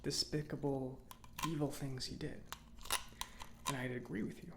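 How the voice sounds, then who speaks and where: A man playing a chatty, opinionated robot mechanic in an ASMR roleplay, speaking softly and often near a whisper in a halting, musing, conversational way. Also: medium pitch, steady tone, gravelly, background noise, natural voice. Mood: confiding, explanatory. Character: chatty, opinionated male government robot mechanic, gently confiding. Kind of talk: soft-spoken ASMR roleplay.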